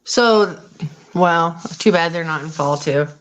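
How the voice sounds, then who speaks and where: A woman's voice: on the low side, slow, dull audio, slight background noise. A woman hosting a casual live chat, rambling and trailing off as she talks, from Colorado. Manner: chatty, rambling, trails off mid-sentence. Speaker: live stream host.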